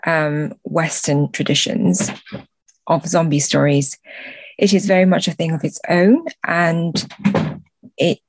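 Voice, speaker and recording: Slow, low-pitched woman, thoughtful interview guest. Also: no echo, low-quality recording. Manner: thoughtful, measured, pausing between phrases. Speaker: podcast guest, translator. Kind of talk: explanatory interview talk.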